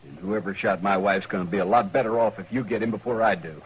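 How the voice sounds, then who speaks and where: An actor playing a hot-headed, vengeful farmer with a rural Western American accent, terse, in a radio western. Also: male voice, on the low side, fast, lightly acted. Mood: threatening. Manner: terse, threatening. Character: bitter, vengeful Old West farmer, hot-headed husband. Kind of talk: old-time radio western acting. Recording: faintly noisy old radio recording.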